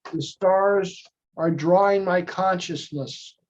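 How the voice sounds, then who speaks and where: A man leading a guided meditation, speaking in measured short phrases with long pauses between them. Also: high-pitched. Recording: dull lo-fi audio.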